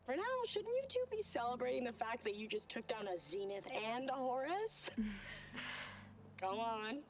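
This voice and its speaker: An actress playing an eager, sociable young expedition member in a video game, with a friendly, animated delivery. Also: female voice, a little high, a little slow. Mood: excited, friendly. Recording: crowd noise behind the voice.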